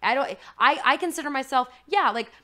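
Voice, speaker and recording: A woman's voice: sits high, lively intonation, a little fast, pushed and bright. A woman voice actor talking candidly in a casual livestream chat, with lots of "like" fillers.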